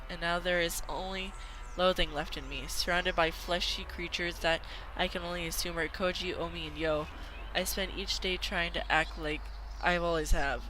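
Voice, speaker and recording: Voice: mid-range voice, noisy background. An amateur video game player reading a visual novel aloud dramatically, sometimes stumbling and repeating words.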